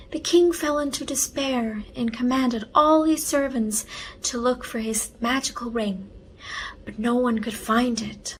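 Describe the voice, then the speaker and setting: A woman reading a children's story aloud in an expressive storytelling style, carefully enunciated. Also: a little high, a little hiss or hum, rough recording. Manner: unhurried, enunciated read-aloud.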